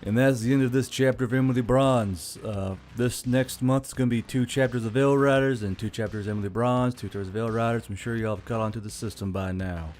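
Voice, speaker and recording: A man's voice: medium pitch, steady tone, a little fast, few pauses, darkish tone. Casual male channel host over music. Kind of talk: casual outro talk to viewers. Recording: music bed under the voice.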